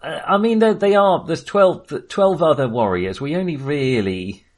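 A man's voice: a little high, lively intonation, moderate pace, darkish tone. A male podcast co-host talks casually about film in a mid-range voice. He meanders and hesitates as he goes, and tends to chuckle along the way.

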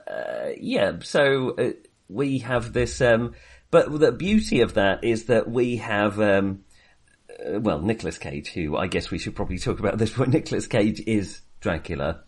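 Low-pitched man, halting film-podcast chat. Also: animated, breathy edge. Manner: hesitant, halting delivery that circles back on phrases. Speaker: podcast co-host reviewing films. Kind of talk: casual film discussion.

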